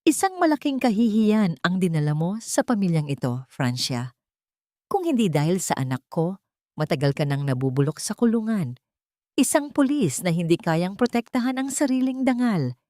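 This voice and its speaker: A woman's voice: medium pitch, very animated, moderate pace, mellow, no room sound, studio quality, natural voice. A woman with a Filipino accent voicing a scornful, domineering older mother-in-law in an audio drama, cutting and contemptuous.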